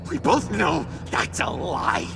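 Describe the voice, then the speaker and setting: An actor playing an unstable, nervous young man in a video game, stammering and halting, paranoid and quick to swing into outbursts. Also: a little high, wildly swooping pitch, creaky, over music, heavily acted. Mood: bitter, accusing. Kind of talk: dramatic video game acting.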